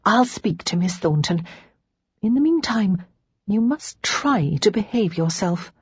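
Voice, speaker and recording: A woman voicing a gentle, earnest young widowed lady of rank in an audiobook. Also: medium pitch, expressive, a little slow, husky, no room sound, full character voice. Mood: composed, rueful. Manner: gentle, grave, genteel. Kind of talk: audiobook character dialogue.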